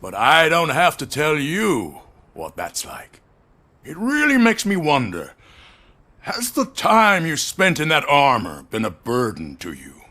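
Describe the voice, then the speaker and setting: An actor playing an old corporate chief, courteous and weary, speaking in a measured, formal way with quiet control. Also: male voice, a little high, wildly swooping pitch, full character voice. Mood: measured, probing. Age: older. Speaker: company head. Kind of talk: video game dialogue acting.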